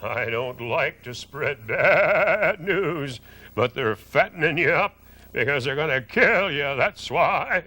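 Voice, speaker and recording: A woman voicing a blunt, gloomy old female sheep, speaking slowly as she breaks bad news. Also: deep voice, lively intonation, pushed and bright, full character voice.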